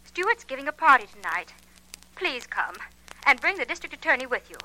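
An anxious young fiancée in a vintage radio mystery. Her voice is high and extremely bright, pressing her point with insistent, well-bred urgency. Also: very animated, fairly quick, heavily acted, low-quality recording. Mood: urgent, worried. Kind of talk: 1940s radio mystery acting.

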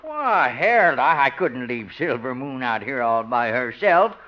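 An actor playing a folksy, self-satisfied old country horse doctor who chuckles and dawdles, in 1950s radio comedy style. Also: male voice, medium pitch, sing-song, unhurried. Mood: pleased, chuckling. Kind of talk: 1950s radio sitcom acting. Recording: muffled 1950s radio recording.

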